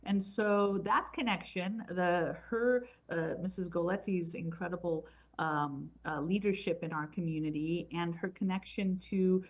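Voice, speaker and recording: A woman's voice: lowish voice, unhurried. A woman from San Francisco, a community activist, speaking as a guest in a hesitant way with many fillers. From San Francisco, United States. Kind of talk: conversational interview answers.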